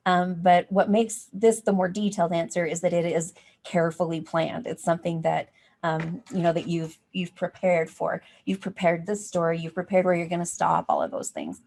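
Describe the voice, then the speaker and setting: A woman's voice: on the low side, moderate pace, creaky, darkish tone, a little hiss or hum. A woman giving an informal teaching workshop, explaining in a conversational way as she thinks aloud. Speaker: workshop presenter on teaching reading. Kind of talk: informal professional development talk.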